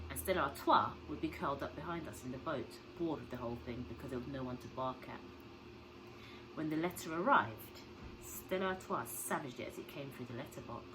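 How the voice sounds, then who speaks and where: Low-voiced woman reading aloud, noisy recording. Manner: explains words and story simply for children. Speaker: teacher reading to pupils. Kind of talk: expressive storybook read-aloud with questions to young listeners.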